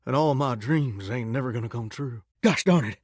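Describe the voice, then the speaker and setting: A man's voice: mid-range voice, moderate pace, husky, dry recording, close to an everyday voice. A man playing a drawling Southern talking shower. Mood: folksy. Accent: put-on Southern US drawl. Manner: drawling, folksy, rambling, uses country sayings. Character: folksy talking shower, male, chatty cowboy charmer. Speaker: video game character. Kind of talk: game voice lines. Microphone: close studio mic. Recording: dry studio recording.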